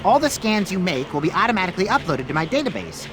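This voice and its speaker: A man's voice: sits high, big pitch swings, quick pace. A man voicing an eccentric, whimsical young painter who rambles playfully, in a game cutscene. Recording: game cutscene over music.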